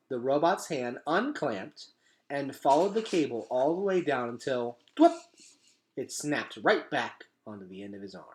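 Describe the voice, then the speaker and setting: A man narrating a children's storybook aloud in a dramatic, emphatic way, dropping to a hush at times. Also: medium pitch. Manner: dramatic, emphatic delivery with hushed drops.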